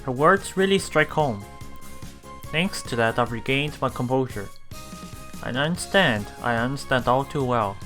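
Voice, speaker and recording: A man with a bright, forward voice at a middle pitch hosts a visual novel let's play. He reads the text aloud and adds hesitant, musing commentary, with music playing behind him. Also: animated, measured pace. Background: game music.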